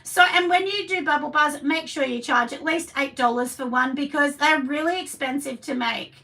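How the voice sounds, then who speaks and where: A chatty Australian woman with a husky voice, hosting a live craft class and rambling to her viewers. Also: high voice, moderate pace, sharp tone. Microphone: camera set down at a distance. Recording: voice a bit distant from the mic.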